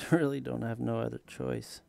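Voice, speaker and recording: A man's voice: medium pitch, little pitch movement, normal speed, vocal fry, dark tone, no echo. A man hosting a hobby livestream, chatting casually as he works and replies to viewers. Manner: chuckles often, reads and answers chat comments. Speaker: livestream host doing scale modelling. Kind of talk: casual live chat while working.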